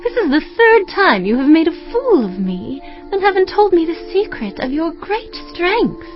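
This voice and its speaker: A woman's voice: very high-pitched, very animated, moderate pace. An actress playing a seductive, nagging woman in a dramatized scripture recording, insistent, coaxing and dramatic. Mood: wounded, nagging. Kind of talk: dramatized scripture audio acting. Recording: dull band-limited audio with music.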